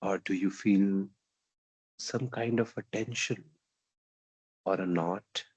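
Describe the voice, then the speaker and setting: A man with an Indian accent leading a guided meditation in gentle, simple repeated phrases with long pauses. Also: low voice, steady tone, breathy edge, no echo. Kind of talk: guided meditation, slow calming instruction.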